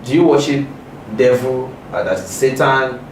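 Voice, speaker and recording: A man's voice: mid-range voice, unhurried. A man puts probing questions as an interviewer. He sounds set back from the microphone, in a very roomy space with a faint noise behind him. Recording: roomy, distant from mic, noisy.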